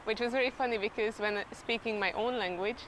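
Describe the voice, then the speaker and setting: A woman's voice: a little high, fairly even intonation, a little fast, a bit bright, a little hiss or hum, rough recording. A young woman with a Hungarian accent chatting in an interview, laughing often as she talks. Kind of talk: casual interview chat.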